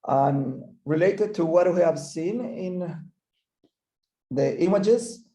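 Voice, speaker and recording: A man whose first language is Spanish, speaking English with a Spanish accent, gives an online physiotherapy lecture, often halting and repeating words as he searches for English. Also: high voice, dark tone, roomy sound. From Chile. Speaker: physical therapist presenter.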